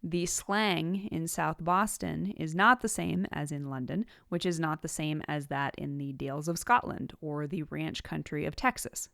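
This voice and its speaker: A woman with a slightly low voice delivers scripted instructional narration as a podcast host. Her manner is measured and orderly, laying out points one by one. The recording is close and dry, with very little room sound. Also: fairly bright tone, no room sound. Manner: measured, enumerating points clearly. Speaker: podcast host giving writing tips.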